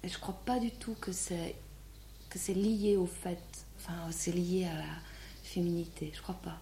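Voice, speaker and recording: Hesitant woman with a dark, roomy sound. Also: on the low side, slight background noise. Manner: hesitant, measured, thoughtful. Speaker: filmmaker guest. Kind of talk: interview answers. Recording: roomy with faint ambience.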